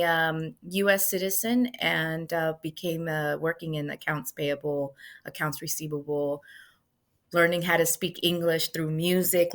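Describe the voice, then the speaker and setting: A middle-aged woman, a podcast guest, speaking conversationally and lively, slipping Spanish phrases into her English. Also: low voice. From United States, of Mexican parents. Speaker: podcast guest, music manager. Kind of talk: conversational interview answers.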